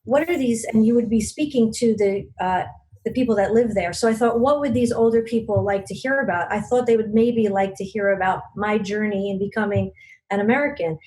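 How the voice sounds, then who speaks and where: A woman's voice: mid-range voice, fairly quick, a little mellow, low-quality recording. A woman from Long Island, a guest in a podcast interview, telling stories earnestly with many fillers and restarts. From Long Island, New York. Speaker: guest, regional business network leader.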